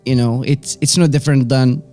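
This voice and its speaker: A man's voice: medium pitch, steady tone, fairly quick, mellow, faint music behind. A man in his forties with a Moroccan accent, a native Arabic and French speaker, casually telling personal stories as an interview guest. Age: 40s. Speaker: interview guest, business owner.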